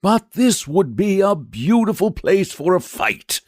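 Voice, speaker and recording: A man's voice: high-pitched, sing-song, a little slow, a little mellow, dry recording, studio quality, voice pushed up, exaggerated voice. A man voicing a patient, earnest young knight who talks persuasively.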